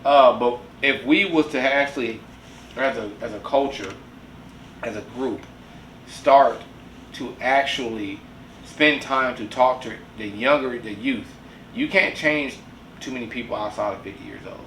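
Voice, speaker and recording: A man's voice: medium pitch, a little slow, fairly bright tone, slight background noise. Earnest forty-year-old man, distant roomy mic. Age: 40s. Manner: earnest, preachy, repeats "you know what I'm saying". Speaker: podcast host. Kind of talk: casual podcast discussion.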